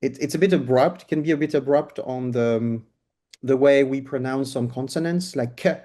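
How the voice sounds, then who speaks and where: Man, French accent, mellow tone, hesitant explaining, interview answers. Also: mid-range voice, moderate pace. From France. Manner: hesitant, many restarts and fillers while explaining. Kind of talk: casual conversational interview answers.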